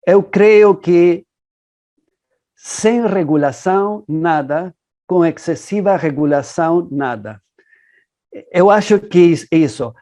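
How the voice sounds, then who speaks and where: Lawyer, dark voice. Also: male voice, a little high. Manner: measured, hesitant with many fillers. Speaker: panelist. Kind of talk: panel discussion answer. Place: online webinar.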